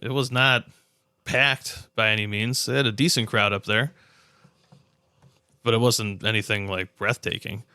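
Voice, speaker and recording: A man's voice: on the low side, steady tone, a little slow, pauses often, bright, forceful tone, smooth, no room sound, studio quality. A man chatting casually about sports on a podcast.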